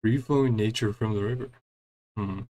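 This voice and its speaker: A man's voice: fairly low pitch, normal speed, dark tone, low-quality recording. A man streaming a game in a casual, chatty way, reading dialogue aloud and murmuring short reactions between the lines. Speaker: gaming streamer playing through a story quest.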